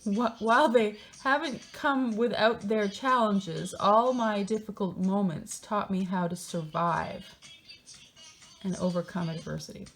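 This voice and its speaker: A woman's voice: mid-range voice, a little slow, faint music behind, low-quality recording. A woman reading a book aloud in a theatrical, mocking way, breaking into casual, sardonic asides of her own.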